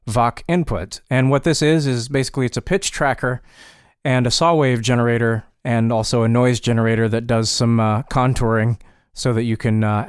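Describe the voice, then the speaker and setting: A man with a clean, smooth voice walks through a software demonstration in a casual, offhand way. He is a little self-deprecating as he explains. The sound is dry, with hardly any room. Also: mid-range voice, no room sound. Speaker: tutorial presenter.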